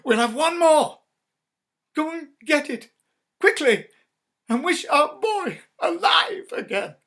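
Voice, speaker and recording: A man's voice: very high voice, animated, slow pace, gravelly, bright, forceful tone, pitched above the performer's own voice, exaggerated voice. A man voicing an elderly grieving mother, feverish and desperately insistent, in a storybook reading. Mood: frantic, pleading. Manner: frantic, breathless crying out.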